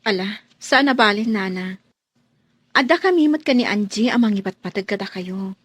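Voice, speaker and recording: A woman's voice: mid-range voice, animated, moderate pace, fairly bright tone, no room sound. A woman playing a caring, dutiful young housemaid in a radio drama, earnest and soothing. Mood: reassuring.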